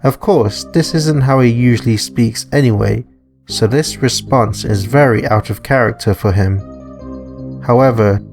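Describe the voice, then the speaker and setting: A man's voice: medium pitch, darkish tone. A man reading scripted narration for a visual novel story, with music behind him. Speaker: narrator and author.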